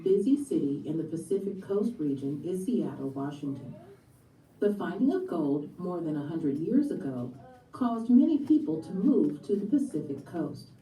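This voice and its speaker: A woman reading scripted educational text aloud as a textbook narrator, in a measured and enunciated manner. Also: lowish voice, mellow. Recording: played back in a room, distant, echoey.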